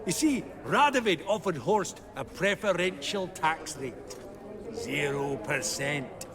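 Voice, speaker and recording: An actor playing a brash, shrewd fantasy banker with a Scottish accent in a video game, theatrical and chummy. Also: male voice, a little high, expressive, slow pace, a crowd in the background. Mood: sly, genial.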